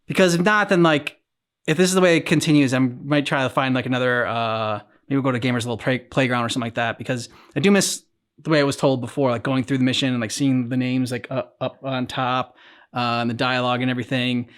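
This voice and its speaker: Man, mid-pitched voice, casual discussion, reaction show host, halting, thinking aloud. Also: steady tone, fairly bright tone.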